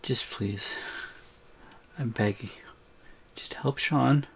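An actor playing a shrunken, terrified captive in an audio roleplay, pleading in a halting way. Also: mid-range voice, vocal fry, slightly rough, full character voice. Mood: defeated. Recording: music under the voice.

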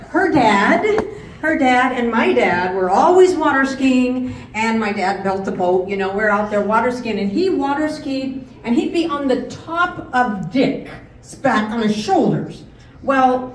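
A middle-aged woman speaking at a memorial with a handheld mic, chatty and rambling. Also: a little high. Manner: warm, chatty, rambling with fillers. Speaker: family host of memorial. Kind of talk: memorial speech. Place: large event hall, indoors. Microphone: handheld mic through room speakers. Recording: far-off echoey hall, faint noise.

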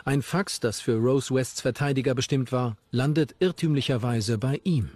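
A man's voice: medium pitch, normal speed, a little mellow. A man reading scripted narration as the narrator of a true crime documentary.

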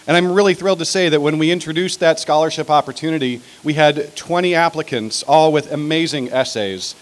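A man's voice: on the high side, a little fast. A middle-aged American man hosting an event, giving an informal introduction into a podium microphone outdoors. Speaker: event host. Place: outdoor podium on building steps. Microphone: stand microphone at podium.

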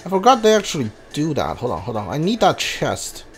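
A gamer giving casual, hesitant commentary on a playthrough, often muttering to themselves in a halting way. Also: mid-range voice, huge pitch swings. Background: video game music.

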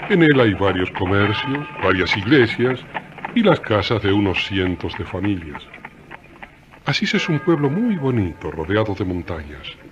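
A man's voice: lowish voice, big pitch swings, measured pace, dull audio, music in the background. A man narrating a radio drama as a storyteller, folksy and chatty, speaking directly to listeners.

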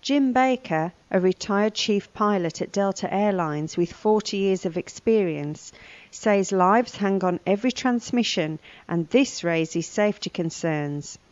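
A woman's voice: medium pitch, unhurried, darkish tone, no room sound, lo-fi sound. A woman giving scripted lesson narration, reading vocabulary and a news report. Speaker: language lesson presenter.

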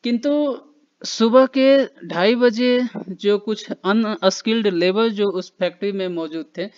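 A man's voice: high-pitched, darkish tone, smooth. A man giving a loose, conversational explanation over slides, with fillers and restarts. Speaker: educational channel presenter. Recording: dull lo-fi voice-over.

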